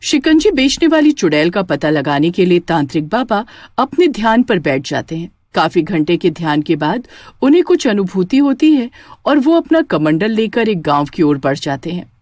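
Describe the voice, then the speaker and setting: A woman narrates a scripted story in a dramatic storytelling style. Her pitch moves widely and expressively, and the recording is dry and close. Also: very animated, moderate pace, a little mellow, no echo.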